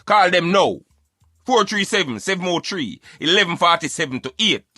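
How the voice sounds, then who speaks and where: A man's voice: sits high, lively intonation, moderate pace, bright, forceful tone, slightly rough, dry recording, studio quality, soft background music. A man with a Jamaican accent hosting a talk show in Patois, giving emphatic, repetitive commentary peppered with catchphrases. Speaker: radio show host.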